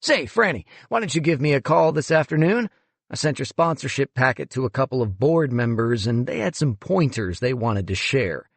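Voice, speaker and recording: A man's voice: mid-range voice, animated, moderate pace, husky, dry recording, voice pushed up. A man voicing a smug, pushy male museum executive who talks in a breezy, condescending way.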